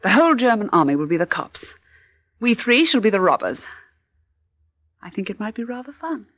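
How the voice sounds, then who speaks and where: Genteel lady, middle-aged, decisive, plucky, medium pitch, dry close sound, radio play. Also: big pitch swings, normal speed, fairly bright tone, no echo. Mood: mischievous resolve. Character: plucky, decisive English-born lady living in Paris. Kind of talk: 1940s radio acting.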